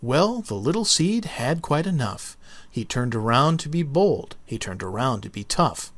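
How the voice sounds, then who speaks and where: Highly animated male storybook narrator. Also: a little slow, no echo. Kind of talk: expressive children's read-aloud narration.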